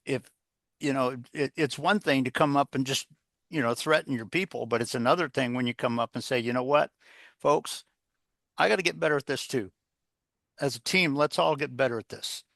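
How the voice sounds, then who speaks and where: A man's voice: medium pitch, moderate pace, creaky, fairly bright tone, dry recording, studio quality. A man giving conversational advice as an interview guest, rambling, circling back over phrases and posing rhetorical questions. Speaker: interview guest, leadership author and coach.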